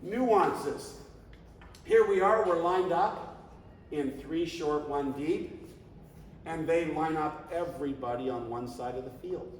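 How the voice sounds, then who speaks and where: A man's voice: fairly high pitch, takes it slowly, a little hiss or hum. Man coaching in an echoey hall. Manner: animated, conversational, shouts coaching calls. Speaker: flag football coach. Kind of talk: coaching clinic talk. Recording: echoey hall, distant mic.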